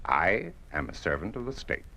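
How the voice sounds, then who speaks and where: An actor playing a cold, officious tax official in an old radio drama, speaking formally and without bending. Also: male voice, deep voice, big pitch swings, normal speed, gravelly, pushed and bright, exaggerated voice. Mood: stern, unyielding. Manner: formal, bureaucratic, unyielding. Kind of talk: 1950s radio drama acting.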